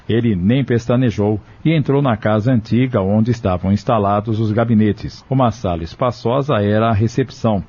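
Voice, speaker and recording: A man with a husky, mellow voice narrating a scripted audio drama. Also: fairly low pitch. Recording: dull, lo-fi recording.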